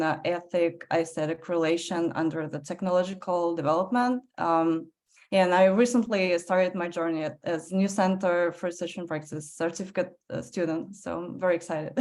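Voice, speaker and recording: Brief: woman, lowish pitch, short shy introduction, video call. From Russia. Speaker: artist and curator.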